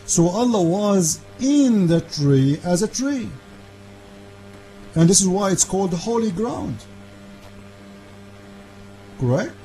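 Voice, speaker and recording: A man whose first language is Arabic hosts a call-in debate, insistent and argumentative, speaking broken English and cutting in with quick repeats. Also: high voice, sing-song, warm tone, faint music behind, poor audio. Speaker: debate show host.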